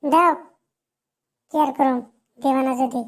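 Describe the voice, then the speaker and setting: A woman's voice: high-pitched, steady tone, takes it slowly. A woman voicing a cheeky, argumentative cartoon schoolboy with an eastern Bengali accent, always pleading and making excuses. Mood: demanding. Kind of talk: comic cartoon dialogue.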